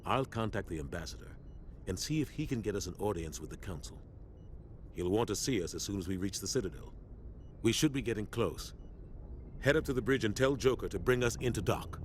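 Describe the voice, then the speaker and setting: Man acting a grave, deep-voiced starship captain. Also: animated, slight background noise, close to an everyday voice. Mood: firm. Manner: authoritative, measured, earnest. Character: grave, loyal male military starship captain, middle-aged. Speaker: video game voice actor. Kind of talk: cinematic sci-fi game dialogue.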